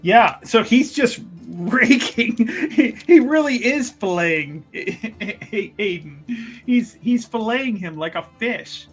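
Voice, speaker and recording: A man's voice: high-pitched, expressive, slow, husky, soft background music. A man chatting casually as a player in a tabletop roleplaying game, describing what happens to his character.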